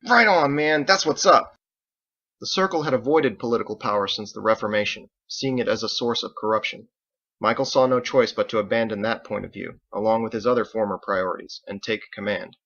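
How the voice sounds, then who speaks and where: A man narrates a written story in a fairly low voice. He reads as the author, in scripted storytelling style. The recording is a bit dull and lo-fi. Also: steady tone, brisk, fairly bright tone.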